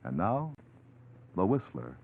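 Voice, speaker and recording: A man's voice: low-pitched, wildly swooping pitch, slow, gravelly, husky, faint music behind. Swooping 1940s radio announcer man, muffled. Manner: emphatic, polished sales delivery. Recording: muffled old radio recording.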